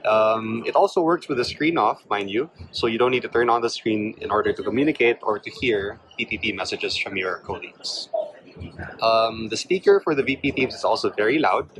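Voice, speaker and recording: A man's voice: on the low side, a little fast, a bit bright, slight background noise, poor audio. A man presenting a product demonstration in an explanatory way.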